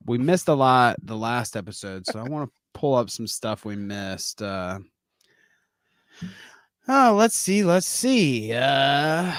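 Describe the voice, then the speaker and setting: A man's voice: mid-range voice, dry recording, clean studio sound. A man co-hosting a casual streaming podcast who laughs often and swears freely. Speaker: podcast co-host. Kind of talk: casual chat.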